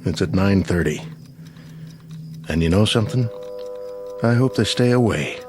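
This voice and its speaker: A grave small-town sheriff. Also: male voice, lowish voice, animated, a little slow. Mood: grim. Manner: stern, grave. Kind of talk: radio drama acting. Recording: radio drama with music.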